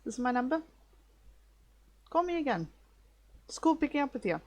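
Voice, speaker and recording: A woman playing a talkative, easygoing female rideshare driver in an improvised ASMR roleplay, rambling casually with hesitant pauses. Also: sits high, sing-song, a little mellow, heavily acted. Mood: friendly, grateful. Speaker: driver character in an audio roleplay. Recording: clearly noisy, vehicle ambience.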